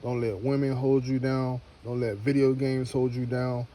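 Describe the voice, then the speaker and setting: A man's voice: medium pitch, level tone, moderate pace, dark tone. A man in his early thirties talking casually to camera, rambling and repeating himself, then giving an earnest motivational pep talk. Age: 30s. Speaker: homestead vlogger.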